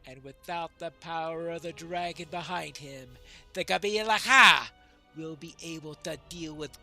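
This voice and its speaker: A man's voice: sits high, sharp tone, dry recording, exaggerated voice. A man voicing a solemn, resolute dwarf prince in a fantasy game, with formal, declamatory delivery. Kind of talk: dramatic reading of fantasy game dialogue.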